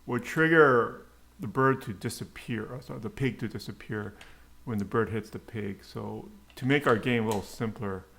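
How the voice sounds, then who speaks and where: A man giving an unscripted coding tutorial, haltingly muttering to himself as he types. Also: medium pitch, fairly bright tone. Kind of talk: unscripted screencast coding tutorial, thinking aloud. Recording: clear background noise, keyboard clicks. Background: typing and mouse clicks.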